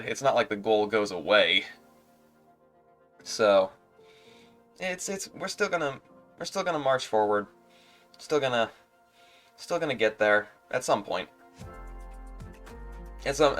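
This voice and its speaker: A man with a stuffy nose talking casually on a livestream as a streamer, halting and repeating his words as he goes. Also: on the low side, a bit bright.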